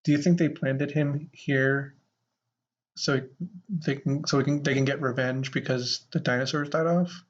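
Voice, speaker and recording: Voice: medium pitch, fairly even intonation, a little slow, dull audio. A podcast host improvising a comedy audio adventure in casual banter, with a rambling, playful way of talking. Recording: noisy background.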